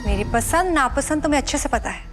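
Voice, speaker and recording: Young heiress, high-pitched, poised, wealthy, audio drama, music behind. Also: female voice, expressive, quick pace. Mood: pleased, excited. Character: rich, poised young heiress, excited about her fiancé.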